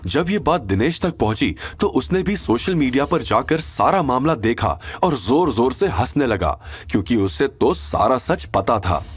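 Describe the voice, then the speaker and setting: A man narrating a scripted audio drama in an expressive storytelling manner. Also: mid-range voice, unhurried, hardly any pauses, husky. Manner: expressive storytelling, does all characters.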